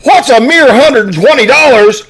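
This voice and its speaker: A man's voice: very high voice, lively intonation, moderate pace, fairly bright tone, breathy edge, heavily acted, low-quality recording. A man playing a flustered, pompous older school principal who stammers and blusters, in old-time radio comedy style. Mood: indignant, blustering. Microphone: video call. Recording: amateur video-call recording.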